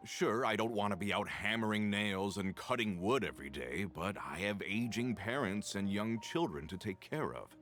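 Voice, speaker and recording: A man's voice: on the low side, animated, normal speed, hardly any pauses, a bit bright. A middle-aged man voicing a weary working man in a video game, resigned and plain-spoken.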